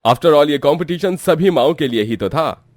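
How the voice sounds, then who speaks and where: A man's voice: on the high side, expressive, moderate pace, dry recording, close to an everyday voice. A man acting friendly male characters in a dramatised moral story. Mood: congratulatory. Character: male story characters: polite contest announcer, teasing son.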